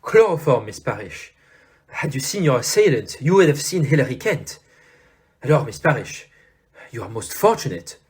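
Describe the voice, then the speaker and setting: An actor playing a courteous, shrewd foreign detective in an audio play, speaking formally with French exclamations. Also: male voice, medium pitch, big pitch swings, moderate pace, natural voice. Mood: courteous, assured.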